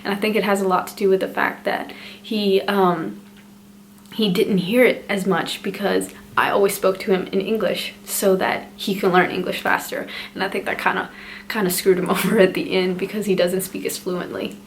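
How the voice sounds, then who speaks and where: A woman's voice: medium pitch, steady tone, moderate pace, slight background noise. A young woman vlogger, a native Spanish speaker, chatting casually to camera with many fillers and frequent small laughs. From El Salvador.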